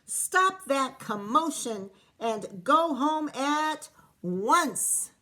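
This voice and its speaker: A woman teacher voicing annoyed neighbors who hush noisy cats in a storybook. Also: high-pitched, animated, very slow, pitched above the performer's own voice, heavily acted. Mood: scolding. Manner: scolding.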